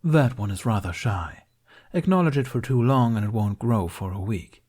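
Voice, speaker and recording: A man plays an older warrior-monk master from a storybook, in a lowish voice. He is kindly and wry, teasing drily in measured phrases. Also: lively intonation, darkish tone. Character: older male Jedi master, wry, kindly, bearded mentor. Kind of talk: audiobook character dialogue.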